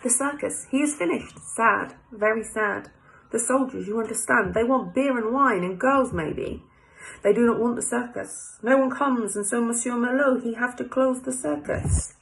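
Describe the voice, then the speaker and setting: A woman's voice: fairly high pitch, normal speed, vocal fry, rough recording. A woman voicing a resigned, mournful male French cafe owner in a storybook, with a put-on French accent and broken English. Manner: broken English, short fatalistic phrases. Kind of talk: storybook character voice.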